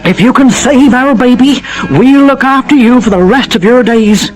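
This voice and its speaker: Man as a high-pitched, excitable shepherd. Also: normal speed, faint music behind, low-quality recording. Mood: urgent. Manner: strained, high, urgent exclaiming. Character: frantic, grateful shepherd crying out with his wife.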